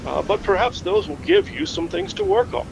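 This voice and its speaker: A man playing a courteous, formal man who speaks haltingly in a naturalistic audio drama phone call. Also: very high voice, huge pitch swings, creaky, noisy background, exaggerated voice. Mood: sympathetic, apologetic. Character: courteous, formal man offering condolences and help. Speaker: caller.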